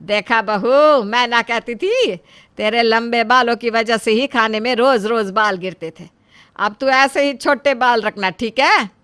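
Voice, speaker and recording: A woman acting a bright, nagging mother-in-law. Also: fairly high pitch, measured pace, clear, resonant tone. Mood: pleased. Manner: scolding, exclamatory.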